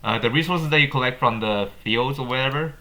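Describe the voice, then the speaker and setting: A man hosting a gaming video with casual update commentary, speaking haltingly and often repeating and rephrasing his words. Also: medium pitch, sharp tone, poor audio.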